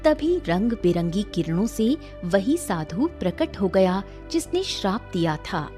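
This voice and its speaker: A woman's voice: medium pitch, very animated, unhurried, mellow, soft background music. A woman giving scripted narration of a moral folk tale as the storyteller.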